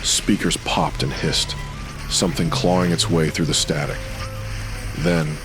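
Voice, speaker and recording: A man narrating a pulp noir audio drama in dramatic, brooding, measured phrases. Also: rumbling low, fairly even intonation, over music.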